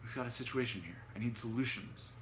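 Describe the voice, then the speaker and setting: Eccentric storybook grouch, read by a man: irritable, huffy, peevish, forceful tone. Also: mid-range voice, quick pace, a little hiss or hum, natural voice. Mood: impatient. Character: eccentric irritable man arguing with imaginary friends.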